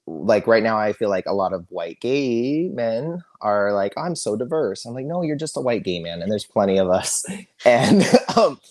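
A middle-aged man as a podcast guest, talking candidly and loudly, laughing often. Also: lowish voice, lively intonation, moderate pace. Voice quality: loud voice. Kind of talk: casual interview chat.

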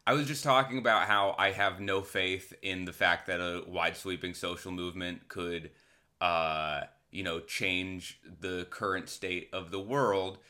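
Deep-voiced man, bright and forceful. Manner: rambling, candid, self-deprecating. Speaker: podcast host. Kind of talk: casual comedic monologue.

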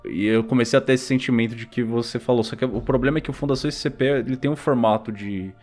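A man's voice: medium pitch, fairly even intonation, quick pace, darkish tone. A man with a Brazilian accent hosting a podcast in a casual, slangy, conversational way. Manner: informal, slangy. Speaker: podcast host. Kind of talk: casual chat. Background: music.

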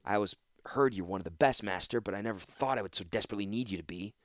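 A man's voice: fairly low pitch, very fast, no echo, studio quality, close to an everyday voice. A man voicing a nervous young male student who protests anxiously.

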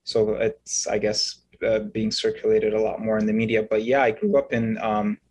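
A man in his thirties, from Egypt and raised in Canada, telling his story as an interview guest in a halting, explanatory way, often checking in with "right?". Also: lowish voice, little pitch movement, a little mellow. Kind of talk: conversational storytelling.

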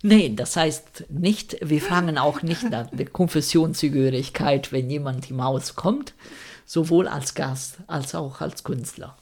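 A man's voice: fairly high pitch, unhurried, gravelly, darkish tone. A man from Rome speaking German with an Italian accent, answering interview questions thoughtfully and searching for words. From Italy (Rome). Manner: thoughtful, searching for words, non-native grammar.

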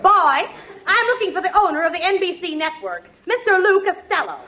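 A woman's voice: very high voice, very animated, a little fast, lo-fi sound. An actress playing a brash, pushy, threatening woman with a brassy, wisecracking manner in a 1940s radio comedy. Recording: old radio recording, noisy, audience behind.

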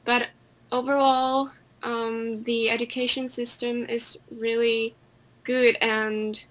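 A woman's voice: on the high side, little pitch movement, takes it slowly, a bit bright. A woman from Finland with a Finnish accent, giving casual, hesitant and giggly answers as an interview guest.

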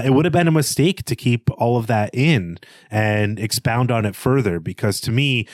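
A man's voice: fairly low pitch, darkish tone, no room sound, clean studio sound. A man co-hosting a podcast, discussing film casually in a thoughtful, hesitant way. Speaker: podcast co-host.

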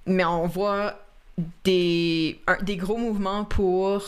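Une femme qui coanime une discussion décontractée en direct, qui rit souvent et mélange des mots anglais à son français. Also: female voice, medium pitch, fairly even intonation, takes it slowly, smooth. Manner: laughs often, mixes English words into French. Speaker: co-host. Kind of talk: casual chat on a live stream.

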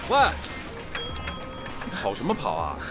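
A cocky teenage troublemaker, played by a man in a radio play, lowish and taunting. His intonation swings dramatically, and there is faint music behind. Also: huge pitch swings, takes it slowly, heavily acted. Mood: aggressive. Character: cocky, menacing male high school troublemaker. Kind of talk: Chinese audio drama acting.

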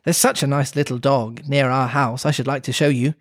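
A man's voice: mid-range voice, fairly even intonation, brisk, no room sound, clean studio sound, lightly acted. A man reading the part of a polite, eager storybook girl who chatters and apologises. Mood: eager, chatty.

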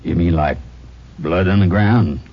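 An actor playing an evasive, self-justifying landowner with a folksy, placating manner in an old radio drama. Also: male voice, a little slow, exaggerated voice. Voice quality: husky, low voice. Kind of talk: 1950s radio drama acting. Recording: dull old radio recording.

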